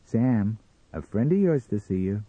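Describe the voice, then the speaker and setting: A man voicing a sceptical, distrustful wife in an audiobook story, with a slow, plain-spoken manner. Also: on the low side, big pitch swings, mellow, husky, no room sound, exaggerated voice, poor audio. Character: sceptical, distrustful, dry wife of stand owner. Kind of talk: dramatised audiobook character reading.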